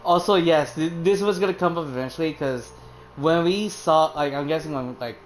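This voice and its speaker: A woman's voice: sits low, normal speed, poor audio. A woman streaming a game, giving casual, sardonic, sweary commentary and talking back to the characters. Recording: stream voice over game music.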